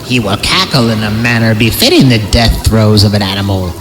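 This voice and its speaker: Low-voiced man reading horror over loud music. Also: sing-song, fairly quick. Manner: irreverent, theatrical, slangy commentary. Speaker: podcast host reading horror stories. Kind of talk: dramatic reading of creepypasta with casual joking asides.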